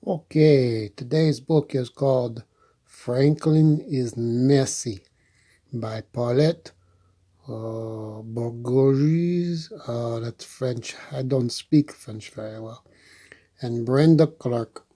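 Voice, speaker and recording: A man's voice: medium pitch, drawn-out words, mellow. A man hosting storytime, reading a children's book and adding folksy, chatty asides. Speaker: storyteller and host.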